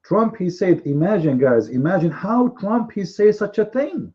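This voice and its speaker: A man's voice: high-pitched, very animated, darkish tone, lo-fi sound. Emphatic man, Arabic native speaker. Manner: emphatic, repetitive, drawn-out stresses. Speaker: online show host. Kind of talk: argumentative live commentary.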